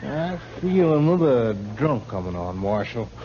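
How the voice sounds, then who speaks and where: A man's voice: medium pitch, sing-song, measured pace, warm tone, highs cut off, a little hiss or hum. An actor playing a griping, hard-drinking frontier gunman in a 1950s radio western, drawling and grumbling on an old recording. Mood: surly, needling.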